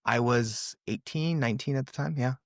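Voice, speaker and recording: A man's voice: mid-range voice, normal speed, dry recording, clean studio sound. A man in his twenties, a native Russian speaker raised in California, telling his story as a podcast guest in an earnest, casual way, full of "um" and "brother". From USA, California.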